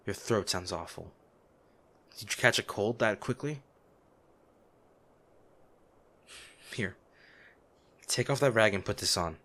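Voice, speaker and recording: A man playing a noble lady's gruff, protective bodyguard, blunt and emotional, in a dramatic fantasy audio roleplay. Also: fairly low pitch, expressive, unhurried, pauses often, pushed and bright, full character voice. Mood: gruff concern. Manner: exasperated, emotional, blunt, with sighs. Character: gruff, protective male bodyguard of a noble lady, scolding yet anxious.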